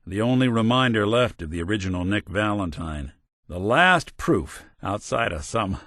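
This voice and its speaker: A man's voice: lowish voice, a bit bright, no room sound, studio quality, exaggerated voice. An actor voicing a world-weary male synth detective in a video game, a robot carrying an old cop's memories, talking in a hard-boiled drawl. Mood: disappointed. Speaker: companion character.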